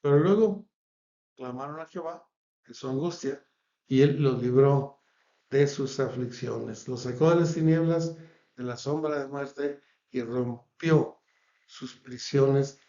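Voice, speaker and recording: Mexican preacher in an echoey room. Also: male voice, medium pitch, slow, warm tone, highs cut off. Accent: Mexican Spanish. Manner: earnest, repetitive listing cadence. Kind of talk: sermon.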